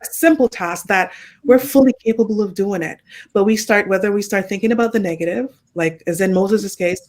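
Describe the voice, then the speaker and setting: A woman with a Jamaican accent, guesting on a faith podcast, at an ordinary middle pitch. She talks reflectively and casually, often tagging her points with a quick question to the listener. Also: darkish tone. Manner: reflective, often adds "right?".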